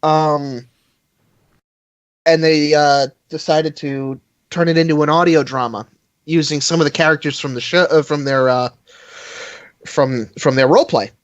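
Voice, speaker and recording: A man chatting casually as a podcast host, rambling and halting, with frequent hesitations. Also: on the high side, steady tone, no room sound, muffled recording. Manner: halting, rambling, chuckles often. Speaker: live stream host. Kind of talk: casual fan podcast chat.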